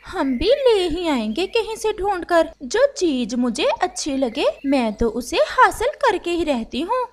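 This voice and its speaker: Cartoon crow wife, played by a woman: covetous, scheming, demanding, high and animated. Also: measured pace, full character voice. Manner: demanding, wheedling. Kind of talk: Hindi animated moral story dialogue.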